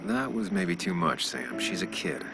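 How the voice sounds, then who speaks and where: A man's voice: deep voice, wildly swooping pitch, moderate pace, gravelly, music in the background, full character voice. An actor playing a man's moralising imaginary double in a video game, urging and admonishing. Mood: disapproving. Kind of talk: video game dramatic acting.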